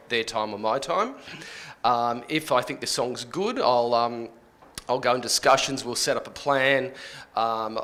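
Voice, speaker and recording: A man explaining his job informally on a panel, halting and often rephrasing as he goes. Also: medium pitch, fairly bright tone. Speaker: radio plugger on a workshop panel.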